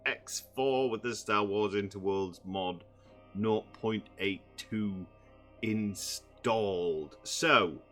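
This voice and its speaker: A man, croaky from just waking up, rambling through casual gameplay commentary with many fillers. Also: on the low side, slow, fairly bright tone, soft background music. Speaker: gaming channel host.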